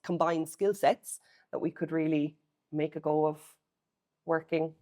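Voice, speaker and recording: A woman's voice: low-pitched, flat delivery, unhurried, mellow. A middle-aged Irish woman, co-owner of a property agency, telling her family's relocation story to camera in an earnest, reflective way. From Ireland. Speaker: real estate agency co-owner.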